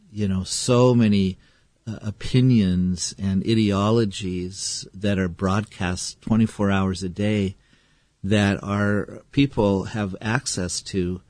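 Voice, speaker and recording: A man's voice: low-pitched, steady tone, slow, a little mellow, no room sound. A man interviewing a guest in an easygoing, affable way, chuckling often as he talks. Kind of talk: casual interview talk.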